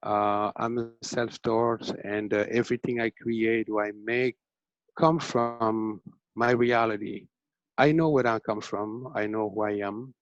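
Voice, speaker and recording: A man's voice: on the low side, steady tone, measured pace, no echo. A man from Senegal, a self-taught painter, talking hesitantly about his work over a video call. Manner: hesitant, searching, many fillers. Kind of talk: casual artist talk on video call. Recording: dull, dry video call audio.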